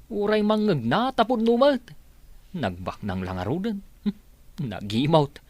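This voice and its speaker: An animated, gossipy household worker. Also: male voice, high voice, a little slow, dry recording, heavily acted. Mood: gossipy, mocking. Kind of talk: radio drama acting.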